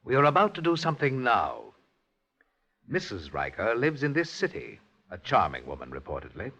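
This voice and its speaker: Husky, grave Communist party boss. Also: male voice, mid-range voice, wildly swooping pitch, normal speed, sharp tone, full character voice. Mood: grave, ominous. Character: grave, secretive male Communist Party boss giving orders. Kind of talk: 1950s radio drama acting. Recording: dull, lo-fi old radio recording.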